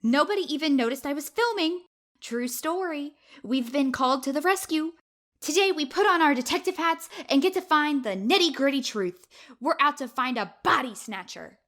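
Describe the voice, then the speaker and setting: A woman's voice: high voice, lively intonation, normal speed, fairly bright tone. A woman playing a brash, self-important young amateur video detective in an audio drama, bragging and accusing theatrically. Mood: excited, boastful.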